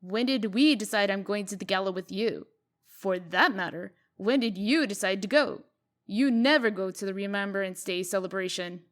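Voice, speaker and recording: Woman acting a feisty, mid-pitched young woman. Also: moderate pace, lightly acted. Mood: indignant. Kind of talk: comic dub acting.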